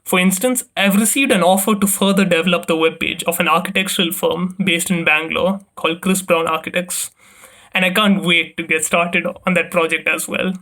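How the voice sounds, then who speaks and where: Young adult man from India, higher-pitched voice; formal, scripted student presentation delivery. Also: fairly even intonation, brisk, sharp tone. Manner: formal, rehearsed, reading from a script. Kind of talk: prepared class presentation.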